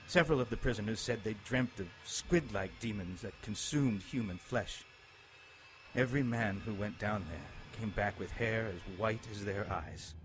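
A man's voice: lowish voice, natural voice. A man playing a dying, bitter, pious monk in a radio play, stern and fatalistic. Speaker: radio drama actor. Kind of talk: dramatic radio play storytelling.

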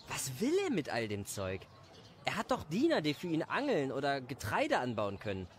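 A man playing a hot-headed, grumbling village husband in an animated audio drama, blunt and sputtering with indignation. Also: medium pitch, normal speed, a little hiss or hum. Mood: puzzled, skeptical.

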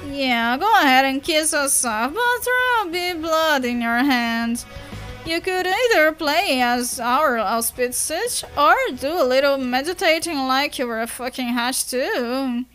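A belligerent young male troll who sneers and swears his way through every demand, put on by a woman reader. The voice sits high and moves slowly, with faint music underneath. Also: animated, a bit bright, low-quality recording. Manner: profane, pushy, sneering. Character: brash, hostile young male troll schemer.

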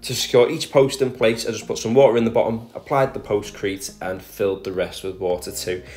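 Low-voiced man explaining a DIY build. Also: little pitch movement, brisk, darkish tone, faint music behind. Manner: plain, methodical explaining with small restarts. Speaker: garden DIY vlogger. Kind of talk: voice-over narration of a DIY project.

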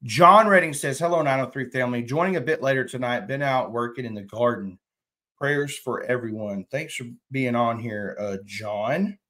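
A man's voice: medium pitch, normal speed, pushed and bright. A chatty man in his thirties with a drawl hosts a casual fishing live stream. He reads viewer comments aloud and talks back to them, recorded on a desk webcam in a plain indoor room. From Texas. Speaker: fishing channel host.

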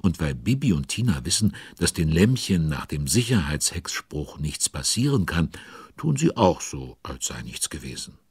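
A man's voice: very deep voice, sing-song, normal speed, darkish tone, slightly rough, dry recording, clean studio sound, heavily acted. A man playing a chatty, nosy storyteller, narrating a children's audio drama and speaking directly to the listeners. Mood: storytelling. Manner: conversational, addresses the listeners.

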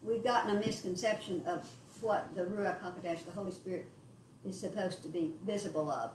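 A woman's voice: on the low side, a little mellow, a little hiss or hum. Earnest woman in a Bible study circle. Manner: earnest, halting with fillers. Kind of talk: casual group discussion. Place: living room. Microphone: far camera in the room. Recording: distant voice in echoey room.

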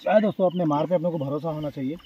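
Man, high voice, excited casual vlog delivery, outdoor phone recording with faint noise. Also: rapid-fire, lo-fi sound. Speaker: fishing vlogger. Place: outdoors on a lake bank.

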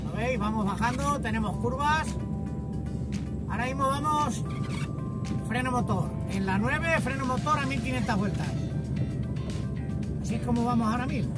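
A man's voice: very high-pitched, moderate pace, pauses often, far from the mic, over loud music. A man in his forties from Spain, a truck driver vlogging casually from his cab, chatty and folksy with the odd curse. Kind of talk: casual driving vlog chat. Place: truck cab on a highway, windy. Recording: loud road and engine noise.

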